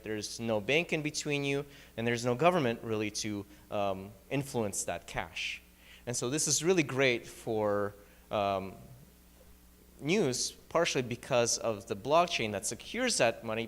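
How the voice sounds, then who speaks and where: Ukrainian-accented man presenting in a reverberant room. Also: fairly low pitch. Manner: quick, loose delivery with fillers and restarts. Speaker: startup founder presenting at a meetup. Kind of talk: live slide presentation. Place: meetup room.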